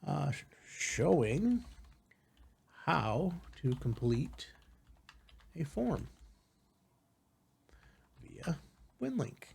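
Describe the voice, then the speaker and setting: Casual male tutorial host, slightly husky. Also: fairly high pitch, sing-song, drawn-out words, pauses often, darkish tone. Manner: informal, reassuring asides with rhetorical check-ins. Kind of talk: casual step-by-step software tutorial.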